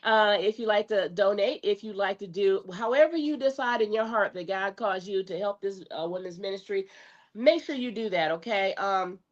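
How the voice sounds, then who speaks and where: A woman hosting a live talk show interview, friendly and quick to laugh, sounding stuffy from a cold. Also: medium pitch. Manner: friendly, laughs often, effusive thanks. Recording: dull lo-fi stream audio.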